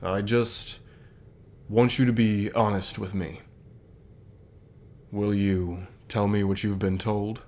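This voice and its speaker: A man playing a calm, reassuring company boss with gentle authority, speaking softly in measured phrases for an ASMR roleplay. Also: fairly low pitch. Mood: gentle, earnest. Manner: measured, soft-spoken, deliberate pauses. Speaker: voice actor in an audio roleplay.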